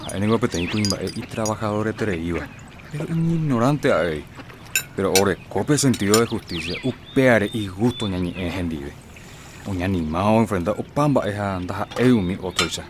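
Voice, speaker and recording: A man's voice: on the low side, animated, normal speed, lightly acted. A man playing an earnest, plain-spoken rural father and cane farmer in a radio drama, with a Paraguayan accent. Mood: earnest, humble. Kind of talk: radio drama acting in Jopara. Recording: noisy outdoor ambience with animals.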